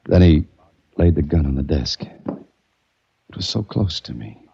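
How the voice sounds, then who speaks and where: An actor playing a hard-boiled adventurer who narrates and speaks in a 1940s radio drama, with wry, tough delivery. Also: male voice, rumbling low, animated, slow pace, gravelly, mellow, slight background noise, heavily acted. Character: hard-boiled male adventurer held captive. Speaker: narrator and lead. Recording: dull old radio recording.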